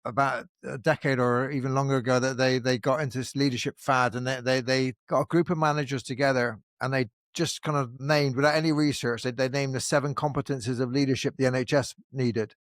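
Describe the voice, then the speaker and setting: Male podcast host, rapid and animated delivery, lots of restarts, dry close sound. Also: medium pitch, dry recording. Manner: animated, with frequent restarts and fillers. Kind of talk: casual conversational interview.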